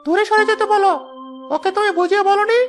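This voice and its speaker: A woman's voice: very high voice, steady tone, fast talker. A woman playing an arrogant, dismissive young landlord's son in a cartoon. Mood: disdainful. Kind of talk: cartoon dialogue dub. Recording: music clearly behind the voice.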